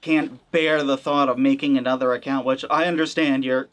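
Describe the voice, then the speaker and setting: A man's voice: medium pitch, fairly even intonation, normal speed, fairly bright tone, a little hiss or hum. Wry male gaming commentator. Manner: conversational, wry, hedging with fillers. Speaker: gaming channel host. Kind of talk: casual commentary.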